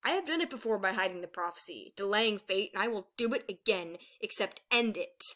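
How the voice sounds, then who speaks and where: A woman's voice: mid-range voice, lively intonation, a little fast, bright, forceful tone. A woman voicing a menacing old female dragon villain in a fantasy story, taunting and grandiose. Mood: gloating.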